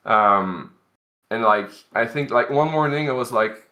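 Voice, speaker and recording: A man's voice: medium pitch, a little slow, bright, forceful tone, lo-fi sound. A man from Canada, an indie game developer, answering interview questions in an earnest, explanatory way with lots of "like" fillers.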